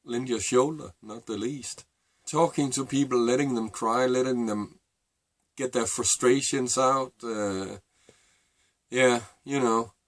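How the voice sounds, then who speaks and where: Man, danish accent, middle-aged, halting and reflective, lots of pauses and fillers, medium pitch. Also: dry recording. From Denmark. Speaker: vlogger. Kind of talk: personal storytelling to camera.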